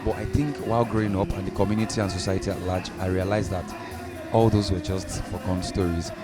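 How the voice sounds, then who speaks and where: A man with a Nigerian accent giving a casual, reflective and halting interview answer. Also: sits low, fairly even intonation. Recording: loud music behind.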